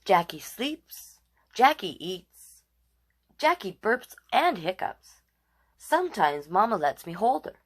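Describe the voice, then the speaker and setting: A woman's voice: medium pitch, big pitch swings, slow pace. A woman hosting a children's storytime, chatting directly with viewers and reading picture books aloud in a casual way. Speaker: storytime host and reader.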